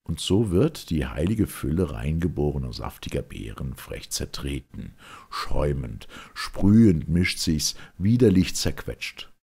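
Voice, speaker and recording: A man with a gravelly, husky voice reciting classical dramatic verse in a solemn, theatrical, measured manner. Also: a bass voice, very animated, darkish tone. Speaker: reader of classic literature.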